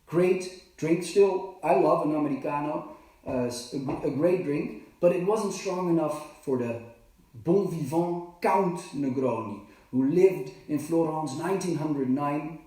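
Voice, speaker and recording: Halting bartender in an echoey kitchen. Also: male voice, on the high side, dark tone, recorded from a distance. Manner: halting, many fillers and restarts. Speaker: bartender and cocktail trainer. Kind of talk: casual live demonstration. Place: home kitchen. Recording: very echoey, from off the mic.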